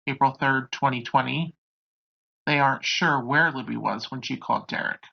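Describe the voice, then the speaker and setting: A narrator with a raspy voice hosting a true crime video, reading from a script on a dull home recording. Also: medium pitch, moderate pace.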